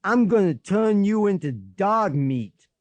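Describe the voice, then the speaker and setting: A huge, mean eighth-grade boy , acted by a man menaces in a children's audiobook. He taunts slowly in a pushed-up voice, with a dry, close sound. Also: high voice, big pitch swings, a little mellow, no echo, voice pushed up, exaggerated voice. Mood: menacing.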